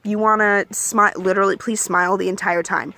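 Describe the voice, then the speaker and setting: A young adult woman chatting candidly in a casual advice vlog, with plenty of ums and likes, and she calls her own voice monotone. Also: medium pitch, a little fast, creaky. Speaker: vlogger giving application advice.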